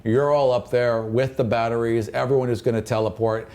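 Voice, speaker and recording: A man with a relaxed, mellow voice at a middle pitch acts as game master. He describes fantasy scenes in a conversational, improvised way, circling back over phrases as he explains. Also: a little fast.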